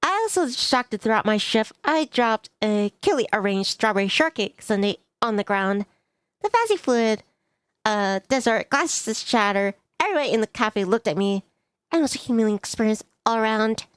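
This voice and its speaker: A woman's voice: fairly high pitch, lively intonation, moderate pace, clear, resonant tone, no room sound. A woman streaming a visual novel, reading the text aloud with asides to viewers and stumbling over some words.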